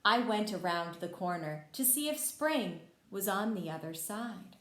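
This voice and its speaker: A woman voicing a friendly, gentle male frog telling a children's storybook tale in an expressive, reassuring way. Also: mid-range voice, unhurried. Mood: wistful storytelling. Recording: echoey room.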